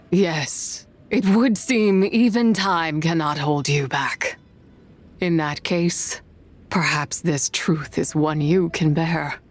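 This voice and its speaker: An actress playing a wrathful ancient immortal woman in a video game, solemn and imperious, with archaic, formal phrasing. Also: low voice. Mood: grave, relenting. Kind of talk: dramatic game voice acting.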